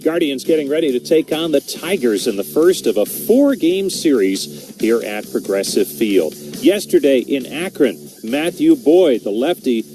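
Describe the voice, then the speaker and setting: A man hosting a radio baseball pregame show, with a mellow, darker tone at an ordinary pitch. He asks questions conversationally and leads into breaks, with faint music in the background. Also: big pitch swings, unhurried. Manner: conversational questions, some restarts and fillers.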